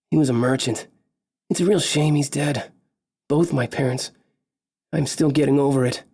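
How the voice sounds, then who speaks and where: A man voicing a soft-spoken young adventurer. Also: medium pitch, breathy edge. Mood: sombre. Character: young male adventurer, grieving merchant's son.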